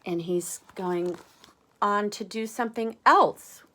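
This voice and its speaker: A woman's voice: mid-range voice, slow, bright, forceful tone. A woman reading a picture book aloud to young listeners, adding chatty asides, questions and exclamations. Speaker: read-aloud storyteller.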